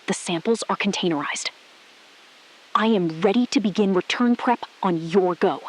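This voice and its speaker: A woman playing a calm, resolute astronaut-scientist in an audio drama, speaking in precise, measured, clipped sentences. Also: mid-range voice, natural voice, poor audio. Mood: firm, measured. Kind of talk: scripted audio drama acting.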